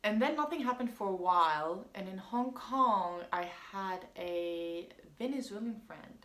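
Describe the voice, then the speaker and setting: A woman's voice: medium pitch, lively intonation, measured pace, fairly bright tone. A woman whose first language is French, telling a casual personal story in a rambling, chatty way with frequent ums. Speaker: vlogger. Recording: roomy echo.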